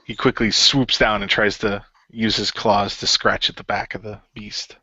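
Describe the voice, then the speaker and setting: A man with a lowish yet bright, forceful voice narrates his character's actions in an improvised fantasy game. The recording is dry and slightly muffled. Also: little pitch movement, normal speed, husky, no echo. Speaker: player in an improvised radio play. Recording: dull lo-fi voice recording.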